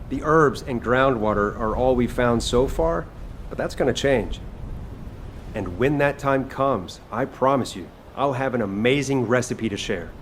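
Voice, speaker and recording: A man's voice: medium pitch, slight background noise, close to an everyday voice. A man playing an upbeat young chef and war hero in a video game, speaking in an earnest, encouraging way. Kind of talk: video game cutscene dialogue. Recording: game music behind the voice. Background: background music.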